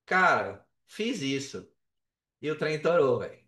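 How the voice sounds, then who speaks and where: A Brazilian man with a high voice tells a story in loose, slangy talk, slipping into quoted dialogue as he goes. He sits back from the mic in an echoey room, so the sound is roomy and a little distant. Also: big pitch swings, a little slow, fairly bright tone. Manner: colloquial, slangy, re-enacts dialogue. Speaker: podcast guest, affiliate marketer. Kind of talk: animated casual storytelling.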